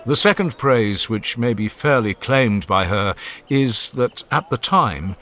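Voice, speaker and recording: A man playing an elderly philosopher in a dramatized audiobook, speaking in a formal, measured, declamatory manner. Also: medium pitch, animated, sharp tone. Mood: solemn, stately. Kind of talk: dramatized audiobook of ancient oration.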